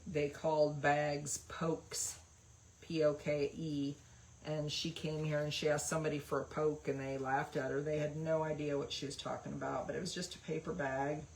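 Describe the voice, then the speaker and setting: A woman's voice: low-pitched, a little mellow, poor audio. A woman hosting a craft livestream, chatting casually and rambling with lots of fillers while she paints. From West Virginia, USA.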